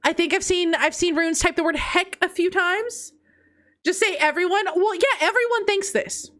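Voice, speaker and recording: A woman's voice: moderate pace, a bit bright. A woman streamer chatting casually with her chat, teasing and laughing often, with a congested nose. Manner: playful, teasing, laughs often, swings between high squeals and low mutters. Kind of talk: casual live-stream chat reading confessions.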